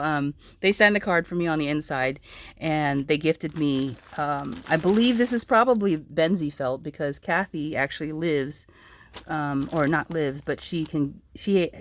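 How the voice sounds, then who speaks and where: A woman with a sore-throat hoarseness chatting casually as a craft vlogger, rambling with many ums and restarts. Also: sits low. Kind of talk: casual chat showing crafts.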